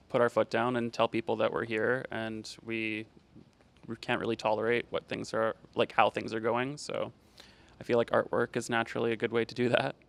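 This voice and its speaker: A man from Canada describes his own art in an unscripted interview. He speaks haltingly, with frequent hedges and filler words. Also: on the low side, vocal fry, a bit bright. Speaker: visiting artist. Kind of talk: casual interview answers.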